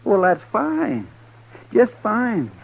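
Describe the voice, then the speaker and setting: A man's voice: high-pitched, huge pitch swings, very slow, creaky, full character voice. A man playing an earnest, deferential Old West deputy in a radio western, with a rural Western American drawl and a hesitant, folksy manner. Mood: eager, nosy. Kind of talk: old-time radio western drama acting. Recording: dull vintage radio recording.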